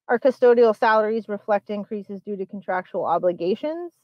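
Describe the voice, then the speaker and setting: A woman presenting a school budget at a public hearing, explaining line items and figures in a measured, explanatory way. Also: medium pitch, expressive, no echo, dull audio. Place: public meeting.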